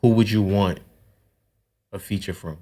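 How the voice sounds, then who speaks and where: A man's voice: lowish voice, steady tone, measured pace, warm tone. A man hosting a podcast interview, asking questions casually, with restarts as he talks. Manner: easygoing, stammering questions. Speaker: podcast host.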